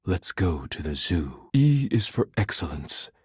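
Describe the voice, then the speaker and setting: A man voicing an earnest, proud poet in a video game, speaking in formal, solemn, stilted diction with a husky voice. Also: deep voice, sing-song, measured pace, a little mellow, dry recording, studio quality, full character voice. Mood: reciting verse. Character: earnest, proud male poet devoted to dishware, formal and intense. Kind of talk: video game character voice acting.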